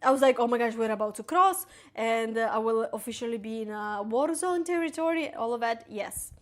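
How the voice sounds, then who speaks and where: A woman's voice: on the high side, expressive, fairly quick. A woman in her twenties from Ukraine, speaking with an Italian accent, chatting casually with live-stream viewers and reading their messages aloud while cooking. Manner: chatty, talks to viewers and reads chat aloud, lots of "like".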